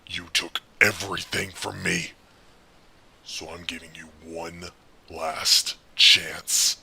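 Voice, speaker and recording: An actor playing a brooding, world-weary masked vigilante in an audio drama, with a raspy growl and a slow, grave delivery. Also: male voice, low voice, little pitch movement, bright, forceful tone, exaggerated voice. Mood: weary pleading.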